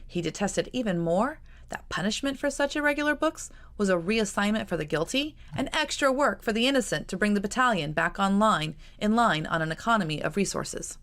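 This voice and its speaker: A woman author reading her own novel aloud in a measured, expressive narration. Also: medium pitch. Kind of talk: audiobook narration.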